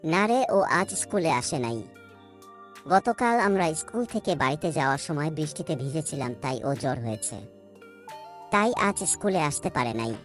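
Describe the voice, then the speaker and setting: A woman's voice: sits low, animated, moderate pace, faint music behind. A woman voicing a bold young village schoolboy in a cartoon story. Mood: plain. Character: village schoolboy, bold, hardworking son of a poor farmer.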